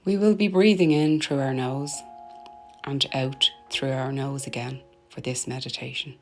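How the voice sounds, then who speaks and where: A woman narrating a guided meditation in a soothing, gentle, deliberate manner, letting her phrases end softly. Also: rumbling low, fairly even intonation. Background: meditation music.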